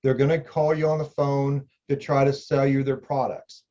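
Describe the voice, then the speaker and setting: A man's voice: medium pitch, level tone, normal speed, warm tone, highs cut off. Chatty middle-aged man explaining benefits. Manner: conversational, repeats key points, addresses viewer directly. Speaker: insurance agent explaining benefits. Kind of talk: explainer video talk.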